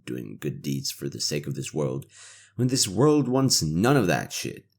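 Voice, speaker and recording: A cynical imperial interrogator, acted by a man in a fantasy audio roleplay. His voice sits extremely low and crackles with gravelly fry, yet it moves with lively intonation. He talks in a sardonic, weary, conversational way, as a soldier who still has a conscience. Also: exaggerated voice. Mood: weary, frustrated. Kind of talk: roleplay audio drama monologue.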